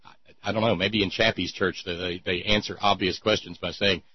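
A man's voice: deep voice, fairly even intonation, moderate pace, lo-fi sound, slight background noise. A man hosting an irreverent talk show, reading listener notes aloud with a mocking, sardonic edge.

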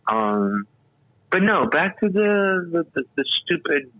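A man's voice: a little high, sing-song, takes it slowly, dry recording. A man in his sixties, a radio talk show host, telling stories in a rambling, casual way full of fillers and restarts. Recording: dull, lo-fi radio audio.

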